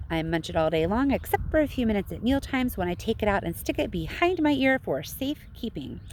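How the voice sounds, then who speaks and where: A woman voicing a brash, chatty, excitable and boastful gum-chewing girl in a children's storybook. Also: medium pitch, a little hiss or hum, lightly acted. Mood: boastful, defiant. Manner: chatty, self-satisfied, excitable. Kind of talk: children's storybook read-aloud.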